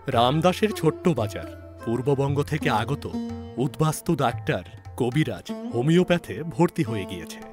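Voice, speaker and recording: Expressive male story narrator over music. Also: medium pitch, normal speed. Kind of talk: scripted dramatic audiobook narration.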